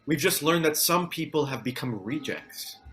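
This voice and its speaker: A man's voice: fairly high pitch, lively intonation, normal speed, noisy background, close to an everyday voice. A man playing a concerned, sober survivor in a scripted table read.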